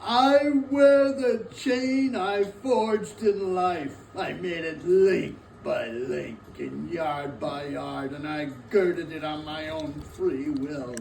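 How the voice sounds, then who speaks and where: A man's voice: on the high side, vocal fry, darkish tone. An actor playing the tormented ghost of a dead business partner in a dramatic stage reading, solemn and declamatory as he gives warning. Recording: echoey room, distant mic, faint noise.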